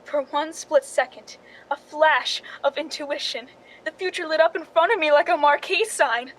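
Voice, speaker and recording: A woman acts a scheming young stand-in from a suspense radio play. The voice is high and the delivery dry and knowing, like a confession in a noir tale. A light rumbling noise sits under it. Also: normal speed, fairly bright tone. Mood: ominous. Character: cool, calculating young Hollywood stand-in narrating her own story. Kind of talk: 1940s-style suspense radio drama acting. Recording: noisy recording with low rumble.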